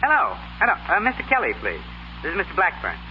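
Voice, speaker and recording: An actor playing a genial, well-to-do gentleman who backs boxers, speaking politely and briskly in a 1930s radio comedy. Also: high voice, huge pitch swings. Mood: businesslike. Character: genial well-to-do gentleman, eager boxing backer. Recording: muffled, noisy 1930s radio broadcast recording.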